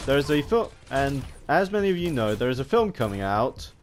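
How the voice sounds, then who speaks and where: A man's voice: mid-range voice, very animated. Stammering man rambling over background noise. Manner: halting, stammering, often restarting sentences mid-word. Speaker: gaming video host. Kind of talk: unscripted rambling commentary. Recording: home recording with background noise.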